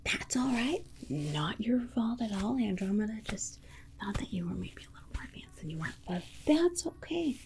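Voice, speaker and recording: A woman playing a polite, condescending alien scientist in an ASMR roleplay, whispering in a soothing, coaxing manner with breathy delivery. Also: on the high side, very animated, normal speed, a little mellow. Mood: gently condescending. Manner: soothing, coaxing, hesitant asides. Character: alien scientist, polite, reassuring, breezily condescending toward humans.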